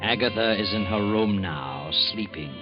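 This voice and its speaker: A man's voice: lowish voice, animated. Grave male radio narrator. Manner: grave, measured. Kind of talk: scripted radio drama narration. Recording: dull old radio recording with faint music.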